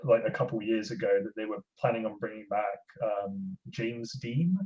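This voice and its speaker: A podcast speaker chatting casually, haltingly searching for words. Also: mid-range voice, darkish tone. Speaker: podcast co-host or guest. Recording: muffled lo-fi audio.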